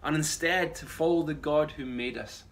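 A pastor, a man in his thirties, delivers a conversational sermon. His voice is bright and forceful and pitched a bit high. He explains things earnestly, speaking to a phone. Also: normal speed. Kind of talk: sermon, conversational address to camera. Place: small bright room at home. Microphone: phone a short distance away.

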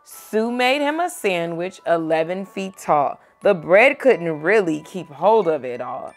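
A young woman reading a picture book aloud to a child at home, gentle and expressive. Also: fairly low pitch, a little slow, faint music behind. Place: home bedroom, indoors.

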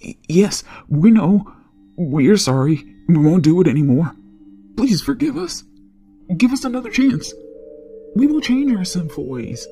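A man's voice: high voice, lively intonation, unhurried, creaky, mellow, soft background music, exaggerated voice. A man playing an agitated masked robber in a dramatized audio story, with a swooping delivery. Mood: begging, frightened. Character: masked male robber, threatening then groveling.

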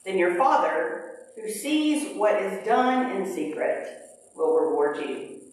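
A middle-aged woman pastor leading a prayer and reading scripture in a measured liturgical way, at a pulpit in a large church. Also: medium pitch, very animated. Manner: slow, measured liturgical delivery. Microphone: pulpit mic, far camera. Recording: very echoey sanctuary, distant camera.

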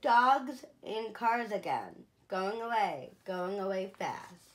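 A woman in her thirties reads a children's picture book aloud, taking her time over each short line. Her mid-range voice stays clear and gentle, and it rises brightly whenever the dogs call out. She sounds recorded in a small room with the camera close in front of her. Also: mid-range voice. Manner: slow, clear and expressive, lifting her voice for the dogs' exclamations. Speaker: children's museum storyteller. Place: small indoor room, seated in an armchair.

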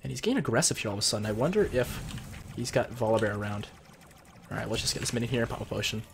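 A young man with a cold, sounding a bit husky and deeper, casually explaining strategy as he plays and comments on a video game at his computer. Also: very fast, a little hiss or hum. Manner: chatty, explains strategy while playing. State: sick with a cold. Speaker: gameplay commentator. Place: home room at his computer.

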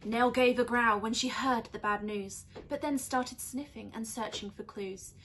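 A young woman reads a rhyming children's storybook aloud in an expressive, teacherly way. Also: on the high side, a little slow, slight background noise, low-quality recording. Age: young adult. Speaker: primary school teacher reading aloud. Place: small indoor room on a sofa.

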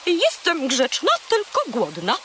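A woman's voice: high voice, huge pitch swings, a little slow, a bit bright, a little hiss or hum, heavily acted. A woman voicing a boastful, chatty, hungry female seagull in a children's audio play, haughty and dramatic. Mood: cheeky.

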